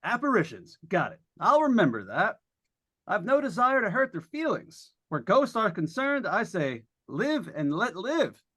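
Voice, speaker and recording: A breezy, wisecracking announcer from an old-time radio drama played by an actor. The pitch swings widely in a folksy, jokey patter addressed to listeners at home. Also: sing-song, measured pace, a bit bright, slightly rough, full character voice. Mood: breezy, joking. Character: chatty, wisecracking radio announcer hosting a live ghost hunt. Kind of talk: 1940s radio drama acting.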